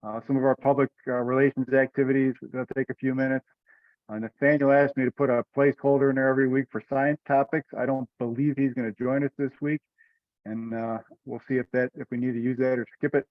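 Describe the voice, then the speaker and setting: Male meeting chair, relaxed and colloquial, mid-pitched, on slightly muffled call audio. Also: fast talker, no echo. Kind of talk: informal online meeting talk. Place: online video conference call.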